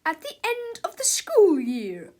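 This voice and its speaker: A mean schoolteacher from a children's storybook. Her voice sits very high and swoops sharply as she barks orders. She is bossy and harsh, snapping and yelling at her class. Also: very animated, moderate pace, exaggerated voice. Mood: bossy, snappy. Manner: harsh, shouting, commanding. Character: mean, bossy woman schoolteacher who snaps and yells.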